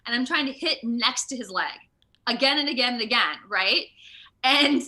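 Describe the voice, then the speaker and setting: A woman with a very bright, forceful voice chats casually as an interview guest. Her pitch sits a little high and moves around a lot. She is expressive and giggly, retelling things with dramatic flair. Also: normal speed, low-quality recording. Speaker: interview guest, video game voice and motion capture actor. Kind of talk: casual animated interview chat.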